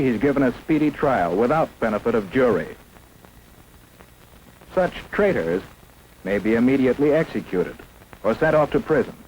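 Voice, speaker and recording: An American man delivers solemn documentary narration in the style of a mid-century propaganda film. His voice sits at a middling pitch, with emphatic, declamatory phrasing. Light noise from an old film soundtrack runs through the recording. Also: darkish tone, rough recording.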